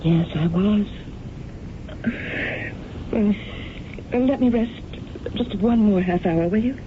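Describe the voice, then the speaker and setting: An actress playing an idealistic, lovestruck young actress, earnest and heartfelt, in a 1970s radio drama. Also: female voice, medium pitch, takes it slowly, natural voice. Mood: weary. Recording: tinny, hissy old radio broadcast.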